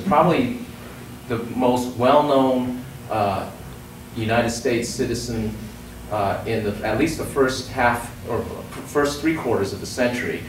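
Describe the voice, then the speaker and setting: A man in his forties giving a talk at a podium in a hall, halting with many fillers and restarts. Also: on the low side. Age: 40s. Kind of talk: lecture at a podium. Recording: roomy distant hall recording with noise.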